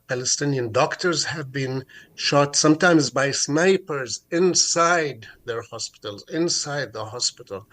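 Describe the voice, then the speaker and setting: A middle-aged man from Jerusalem, a guest on a radio interview, speaking with many fillers and restarts. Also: mid-range voice. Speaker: guest activist.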